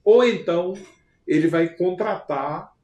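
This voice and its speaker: A man's voice: sits high, measured pace, a little mellow. A man voicing, in his own everyday voice, a Brazilian woman client who now runs her own household and speaks emphatically. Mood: emphatic. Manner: emphatic retelling in first person. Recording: roomy echo.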